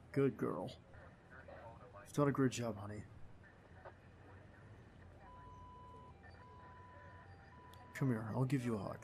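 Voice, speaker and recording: Man acting soft, gravelly young agent boyfriend. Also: medium pitch, exaggerated voice. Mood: gentle, caring. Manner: soothing, intimate, reassuring. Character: tender, protective young secret agent boyfriend. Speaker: ASMR roleplay voice actor. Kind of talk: ASMR boyfriend roleplay acting. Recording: faint background ambience noise.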